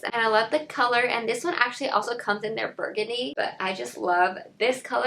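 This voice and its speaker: Twenties female vlogger, mid-pitched and raspy with some fry, upbeat and chatty, recorded on a camera across the room. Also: sharp tone, slight background noise. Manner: gushing, upbeat, lots of "like" fillers. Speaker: beauty and fashion vlogger. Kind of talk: casual unboxing vlog. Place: bedroom, indoors.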